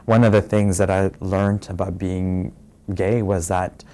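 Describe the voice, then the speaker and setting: A man from Fiji telling his own story in a soft, reflective way, pausing often with fillers. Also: sits low, level tone. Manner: soft-spoken and reflective, many pauses and fillers. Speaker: interviewee sharing his story. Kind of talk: personal testimony.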